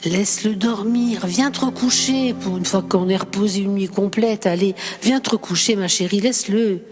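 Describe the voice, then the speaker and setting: A woman's voice: mid-range voice, normal speed, darkish tone, faint music behind, natural voice. A woman voicing a distraught father who blames his wife for his son's death. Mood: coaxing. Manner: accusing, wailing. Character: grieving father, accusing and furious.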